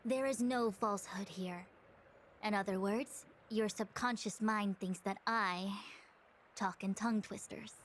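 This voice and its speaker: A woman voicing a composed, stern female diviner in an anime-style video game, speaking in formal, measured, wordy phrases. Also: medium pitch, fairly even intonation, slight background noise, lightly acted. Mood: stern, explaining. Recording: music behind the voice.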